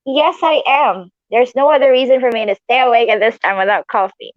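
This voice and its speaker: A woman's voice: on the high side, fairly quick, dry recording, muffled recording. A woman from Malaysia chatting casually and giggly as co-host of a live stream from her living room at home.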